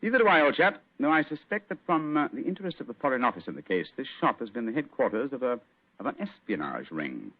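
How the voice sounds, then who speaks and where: An actor playing a superior, brilliant English gentleman detective with a British accent and an assured, condescending manner in an old radio drama. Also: mid-range voice, sing-song, fast. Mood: assured, explaining. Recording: tinny narrow-band old radio recording.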